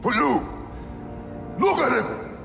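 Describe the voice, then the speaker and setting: An actor playing a stern, commanding warrior god and father in a video game, with a gruff growl. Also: extremely high pitch, animated, slow, full character voice. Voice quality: gravelly, growling. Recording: echoing game audio under loud music.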